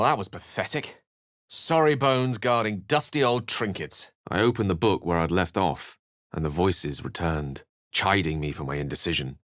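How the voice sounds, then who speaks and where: A man's voice: low voice, a bit bright, no room sound, clean studio sound. A man playing a refined, eloquent wizard in a video game, courteous and wry, with articulate, formal and theatrical speech. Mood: grave narration. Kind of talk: video game voice lines and narration.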